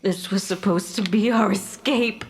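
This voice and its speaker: Woman playing wounded ghost mother, female, slow and halting, mid-pitched, emotional, haunted, audio fiction. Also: natural voice. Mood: distraught, disbelieving. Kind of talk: naturalistic audio drama acting.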